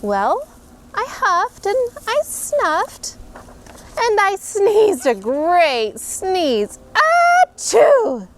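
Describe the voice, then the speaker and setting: A woman, a mother, reading a picture book aloud to her children in an animated, expressive way with playful emphasis. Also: very high voice, very slow, slight background noise. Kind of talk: storybook read-aloud.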